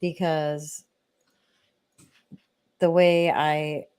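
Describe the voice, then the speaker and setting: A woman's voice: sits low, level tone, extremely slow, a little mellow, clean tone. An American woman hosting an online talk show, speaking casually and affirming her guests. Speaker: host.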